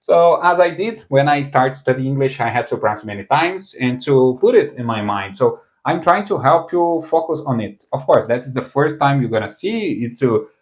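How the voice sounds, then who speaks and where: Brazilian man teaching, lively pitch. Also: normal speed, hardly any pauses. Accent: Brazilian Portuguese. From southern Brazil. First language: Portuguese. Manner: rambling, self-correcting non-native English. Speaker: language teacher. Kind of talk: casual online language lesson.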